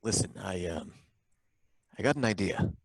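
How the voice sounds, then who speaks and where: Fumbling city inspector, mid-pitched, lively delivery, dry close sound, old-time radio play. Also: male voice, dry recording. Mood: suspicious. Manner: probing, hesitant, halting questions. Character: suspicious, bumbling male license inspector. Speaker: license inspector.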